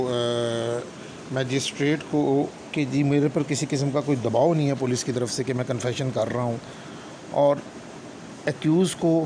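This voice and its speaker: A man with a Pakistani accent giving an explanatory law lecture, deliberate and instructional, mixing in English legal terms. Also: mid-range voice, poor audio. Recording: clear steady background noise, hum.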